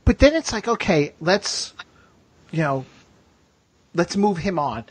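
A man's voice: sits high, big pitch swings, takes it slowly, slightly rough, no room sound. A man hosting a podcast, chatting casually as a fan in a talkative, emphatic way. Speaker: podcast host.

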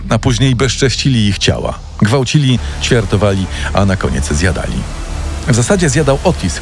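A man narrating a scripted true-crime story in a dramatic storytelling style. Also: medium pitch.

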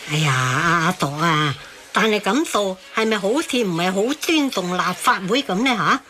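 An actress playing a reasonable, conciliatory auntie in a radio drama, reasoning and questioning in a Hong Kong Cantonese accent. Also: female voice, mid-range voice, lively intonation, moderate pace, pushed and bright, a little hiss or hum. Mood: concerned. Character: reasonable, conciliatory woman called Third Aunt.

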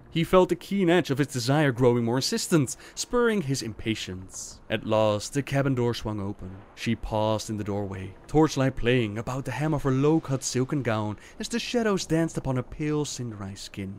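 A man's voice: mid-range voice, lively intonation, moderate pace, hardly any pauses, darkish tone, slight background noise. A man narrating fantasy tales as a host, in a dramatic storybook style.

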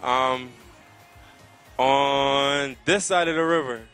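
An American man in his thirties talks casually and earnestly, as in an interview. His voice is bright and forward at an ordinary male pitch, picked up close on a handheld mic outdoors, with some music behind it. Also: slow. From Connecticut, United States. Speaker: soca singer being interviewed. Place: outdoors by a riverside. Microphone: handheld microphone held close to the mouth.

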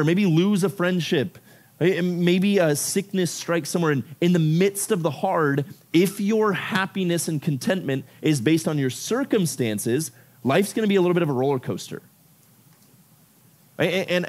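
A man from Ecuador giving a youth sermon to a room of about fifty teens. He talks casually and keeps dropping in "like" and "right?". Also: fairly high pitch. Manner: casual, animated, filler-heavy with frequent "like" and "right?". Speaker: youth pastor.

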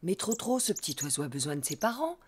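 A woman voicing a gentle, patient mother donkey in a cartoon, calmly explaining things to her child. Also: lowish voice, fast talker, breathy edge. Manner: calm, kindly explaining.

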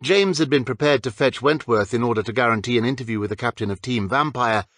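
A man's voice: mid-range voice, fast talker, fairly bright tone, no room sound. A man reading a scripted story as an audiobook narrator.

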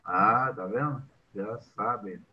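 A man's voice: on the low side, slow pace, lo-fi sound. Older man hosting a video call. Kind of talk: casual chat. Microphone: laptop mic on video call.

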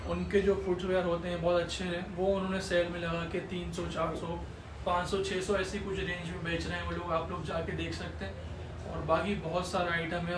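A man's voice: high voice, noisy background. Chatty young man vlogging in echoey room. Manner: chatty, pleading for support. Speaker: vlogger host. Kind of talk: casual vlog talk to camera. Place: small shop room indoors.

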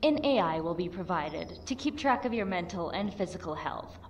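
A woman's voice: fairly low pitch, animated, recorded from a distance, a little hiss or hum. A woman playing a blunt, composed lieutenant in an audio drama, speaking formally and matter-of-factly. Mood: formal, persuasive. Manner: formal, measured, matter-of-fact. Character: blunt, composed female lieutenant recruiting for a program. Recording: off-mic voice under music.

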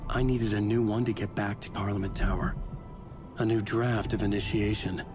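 A man's voice: on the low side, flat delivery, moderate pace, lightly acted. An actor playing a haunted, desperate horror novelist, a man narrating his ordeal in terse, brooding monologue for a video game. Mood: grim, determined. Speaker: protagonist narrator. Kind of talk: scripted inner monologue narration. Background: music.

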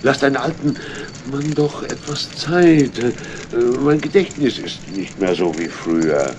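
An actor playing a sly, whining old tramp and thief in a children's audio drama, theatrical, stammering and evasive, with a gravelly voice. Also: male voice, mid-range voice, expressive, measured pace, background noise, natural voice. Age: older. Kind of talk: 1970s-80s German children's audio drama acting.

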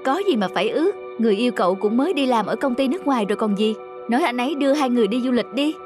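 A woman's voice: a little high, expressive, very fast, over music, natural voice. A woman voicing a chatty, upbeat and boastful young woman in a cartoon. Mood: cheerful, boastful. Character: chatty, cheerfully boastful young female friend. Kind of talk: cartoon dubbing.

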